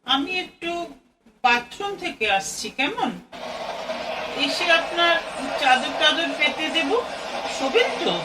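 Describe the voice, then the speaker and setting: A woman's voice: sits high, animated, takes it slowly, long pauses. A woman acting a polite, deferential young traveller in an audio play. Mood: polite, cheerful. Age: young adult. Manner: courteous, deferential to her elder. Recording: distant mic, faint background noise.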